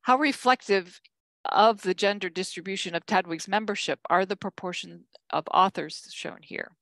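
An older woman giving a conference keynote from slides, measured, with frequent ums and self-corrections. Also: mid-range voice, a bit bright, no room sound. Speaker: keynote speaker.

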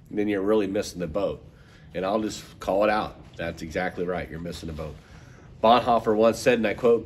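Congested pastor with a head cold. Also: male voice, fairly low pitch, slight background noise. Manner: casual, conversational preaching with fillers and repeats. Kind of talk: podcast sermon.

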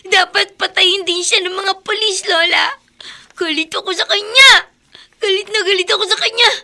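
A woman's voice: normal speed, sharp tone, full character voice. A woman playing a distraught, angry young granddaughter with a Filipino accent, wailing tearfully in a radio drama. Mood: tearful rage. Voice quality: shrill, childlike.

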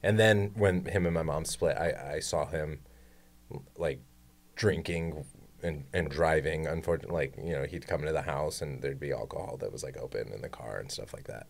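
Very deep-voiced young man, rambling. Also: moderate pace, gravelly. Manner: relaxed, rambling, lots of "like". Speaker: podcast guest. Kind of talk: casual chat. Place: small podcast studio with colored lights. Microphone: studio mic on boom arm, headphones.

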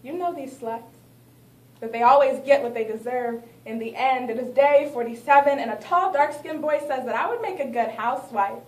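A woman's voice: high-pitched, normal speed, echoey room. A young woman performing spoken word poetry, reciting dramatically with rhythmic refrains, recorded by a distant camera in a small gallery. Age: young adult. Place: small art gallery, indoors. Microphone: far camera, no mic.

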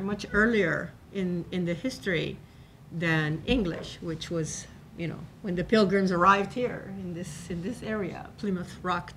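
Cuban-born woman in her 60s, lower voice, chatty lecture style, seminar room with faint rumble. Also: fairly bright tone, poor audio. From Cuba. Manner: conversational, many fillers and restarts. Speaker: professor giving a talk. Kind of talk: informal lecture on a panel. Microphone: table microphone.

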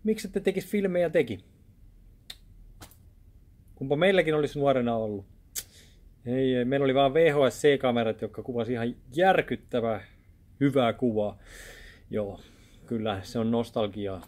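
A man's voice: medium pitch, pauses often, a little mellow. A man in his thirties talking casually in a rambling, chatty way while he walks through building a film set in a small office studio. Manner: chatty, rambling, with many restarts. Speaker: video maker showing a set build. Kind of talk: casual DIY vlog talk. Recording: background music under the voice. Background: music playing under the talk.

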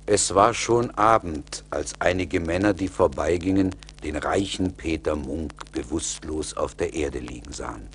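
A man's voice: very deep voice, a little slow, hardly any pauses, a little hiss or hum. A man narrating a fairy tale as a scripted storyteller in a radio play.

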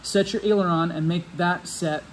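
A man in his thirties with a slightly high voice talks into a phone camera held close. He rambles easily with fillers and restarts while explaining technical details, and a faint hum of room ambience sits in a big metal hangar behind him. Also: steady tone, moderate pace, rough recording. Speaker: RC plane hobbyist vlogger. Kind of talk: casual walkthrough vlog. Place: large metal hangar, indoors. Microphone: handheld phone camera held close.

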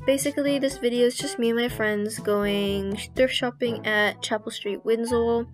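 A woman's voice: fairly high pitch, steady tone, normal speed, music in the background. A young woman gives a casual, self-deprecating voice-over for her own vlog. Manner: apologetic, chatty, self-deprecating. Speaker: vlogger narrating as editor.

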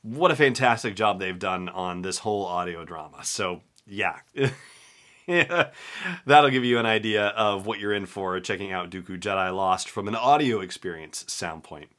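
A man's voice: low voice, normal speed, fairly bright tone. A man in his forties talking casually as he hosts a podcast on his own from a room at home. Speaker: podcast host. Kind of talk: casual solo podcast commentary.

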